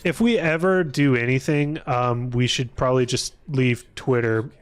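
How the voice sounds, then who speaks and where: A man's voice: mid-range voice, steady tone, moderate pace, clean tone. A man chatting casually on a livestream, rambling informally and hesitantly, often trailing off mid-thought. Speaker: live streamer. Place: apartment room.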